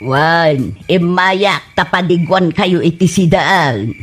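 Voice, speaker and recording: An actress with an Ilocano Filipino accent playing an emotional, plain-spoken woman in a comedy radio drama, her voice husky. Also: lowish voice, slow. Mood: matter-of-fact.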